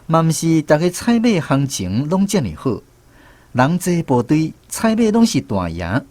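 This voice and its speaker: An actor narrating as a former conscript in a radio drama, telling scripted first-person memories with a Taiwanese accent. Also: male voice, fairly high pitch, darkish tone, no echo. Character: wry former conscript narrating his island army memories. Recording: music bed under narration.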